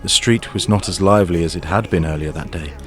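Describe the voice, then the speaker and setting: A man's voice: low voice, fast. A man narrating a scripted fantasy audio drama as its storyteller. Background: music.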